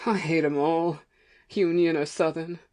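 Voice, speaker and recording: Woman acting low-voiced, fretful poor farm wife. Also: fairly even intonation, a little slow, a little mellow. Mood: resentful. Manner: folksy rustic dialect, fretful. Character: overworked, nervous, complaining poor farm wife. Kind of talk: amateur audio play acting.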